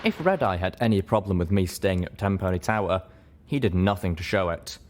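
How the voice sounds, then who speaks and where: A man's voice: deep voice, animated, a little fast, background noise. A man narrating a first-person story in a dramatic audiobook style.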